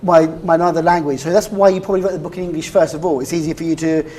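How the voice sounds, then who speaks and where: A woman's voice: sits low, steady tone, very fast, mellow. A woman from the UK hosting a TV interview, chatty and leading her guests with lots of "I mean". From United Kingdom. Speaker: TV talk show host. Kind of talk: broadcast interview.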